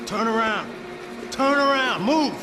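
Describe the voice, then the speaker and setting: A man's voice: extremely high pitch, animated, measured pace, noisy background. An actor playing a brutal, bullying, foul-mouthed gunman barking orders in a video game. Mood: aggressive. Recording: loud game music behind.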